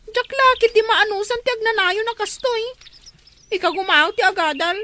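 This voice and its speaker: A woman's voice: very high voice, normal speed, pushed and bright. A young woman with an Ilocano accent playing a whiny, reluctant student daughter in an amateur family drama, plaintive and complaining.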